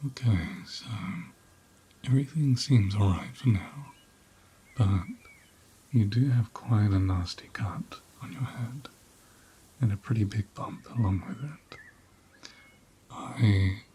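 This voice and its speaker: A man voicing a gentle, protective head ram in an ASMR roleplay, speaking softly and soothingly, often in a breathy whisper. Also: on the low side, slight background noise. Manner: slow, soothing, reassuring.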